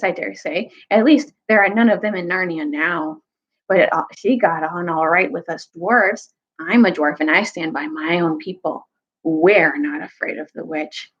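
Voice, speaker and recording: A woman's voice: lowish voice, husky, dull audio, full character voice. A woman voicing a bitter male dwarf rebel in a storybook reading, scornful, snappish and argumentative.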